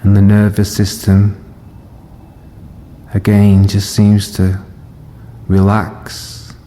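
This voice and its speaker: A man leading a guided body scan meditation as a teacher, speaking gently and unhurriedly in short phrases with long pauses. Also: on the low side, monotone, slight background noise. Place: live session in a reverberant room. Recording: long reverb of a hall.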